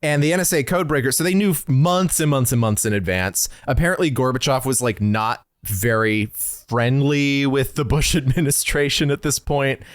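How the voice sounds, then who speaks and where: A man's voice: medium pitch, moderate pace, few pauses, dry recording, studio quality. A man hosting a podcast, talking casually through political history and reading passages aloud. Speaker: podcast host.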